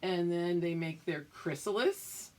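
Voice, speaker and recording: A woman's voice: lowish voice, measured pace, a little mellow. An older woman teaching young children, explaining things simply and checking that they follow along. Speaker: kindergarten or preschool teacher. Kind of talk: read-aloud lesson for young children.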